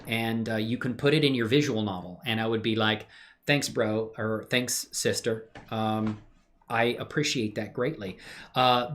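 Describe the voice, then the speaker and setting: A man's voice: mid-range voice, fairly bright tone. Male livestreamer, mid-range voice. Casual, slangy, effusive with his viewers, rambling through a screen-share tutorial. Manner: effusive when greeting viewers, playful slang, muttering and rambling while working. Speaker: livestream host and hobby 3D animator.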